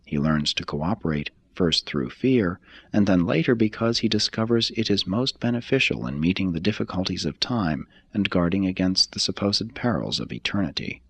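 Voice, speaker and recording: A man reading a formal text aloud as scripted narration, in a measured, deliberate manner. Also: low-pitched, animated, slightly rough, dry recording. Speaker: audiobook reader.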